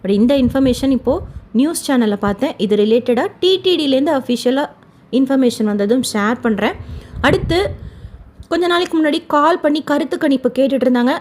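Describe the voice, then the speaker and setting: A woman's voice: high-pitched, brisk, a little mellow. A woman hosting an informal news update, informative and mixing English words into her speech. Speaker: channel host giving pilgrimage updates.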